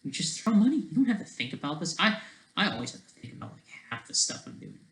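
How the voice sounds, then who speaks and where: Man, slightly high pitch, lively swooping intonation, casual solo monologue, halting delivery, jumps between thoughts, questioning tags, roomy echo. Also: gravelly. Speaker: solo online video creator. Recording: echoey, roomy sound.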